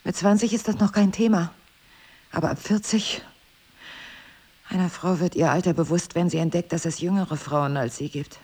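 Middle-aged wife, breathy husky voice, guarded, tender, quietly teasing, measured radio play dialogue. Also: female voice, fairly low pitch, steady tone. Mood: insecure. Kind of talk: intimate audio drama dialogue.

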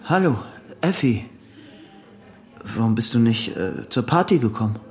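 Intense lovesick man, swinging pitch. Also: lively intonation, a little slow, darkish tone. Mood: guarded, controlled. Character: obsessive, agitated lovesick man hiding a secret. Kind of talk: German radio play acting.

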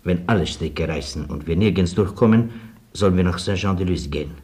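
A man acts a loyal military servant in a radio drama. His voice is low, and his delivery is dry, mixing deference with cheek. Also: fairly quick, a little mellow, close to an everyday voice. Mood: dry, reporting. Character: loyal, dryly cheeky male orderly of a Polish colonel.

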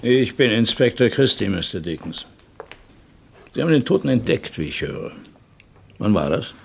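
A man playing a measured, probing police inspector in a German radio crime play, musing aloud with hums and pauses. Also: on the low side, very animated, gravelly. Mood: questioning. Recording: hissy old radio play recording.

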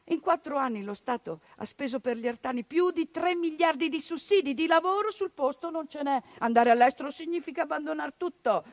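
High-voiced dramatic woman narrator. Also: a little fast, few pauses, dry recording. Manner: dramatic, shifting between hushed and declaimed. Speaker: stage monologue performer. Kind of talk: theatrical monologue narration.

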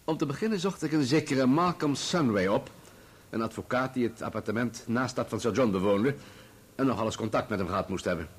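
An actor playing a composed, matter-of-fact journalist giving measured, formal testimony in a radio drama. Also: male voice, medium pitch, slightly rough. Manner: measured, formal, factual recounting.

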